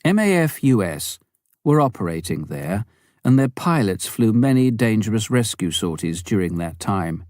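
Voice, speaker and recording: A man narrating a written memoir as an audiobook reader, in a measured, deliberate way. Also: fairly low pitch, very animated, a little mellow, dry recording, studio quality.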